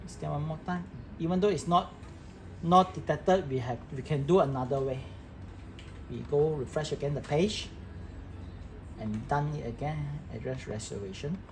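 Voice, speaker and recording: A man's voice: fairly high pitch, moderate pace, long pauses, a little hiss or hum, rough recording. A man giving an informal hands-on tech tutorial, talking through each step as he works. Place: indoor office workbench with electronic devices.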